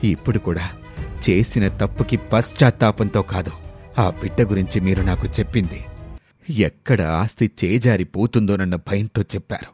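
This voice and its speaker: A man voicing a stern, wealthy old grandfather who scolds in a slow, weighty way. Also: fairly low pitch, darkish tone, soft background music, pitched below the performer's own voice, close to an everyday voice. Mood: stern, angry. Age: older.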